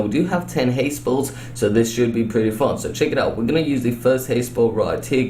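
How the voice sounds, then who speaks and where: A man's voice: medium pitch, dark tone. Chatty young man narrating gameplay, roomy echo. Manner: chatty, upbeat, many fillers. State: slightly hoarse. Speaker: gaming YouTuber. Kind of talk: casual gameplay commentary. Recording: echoey room, distant mic, faint music. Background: faint background music.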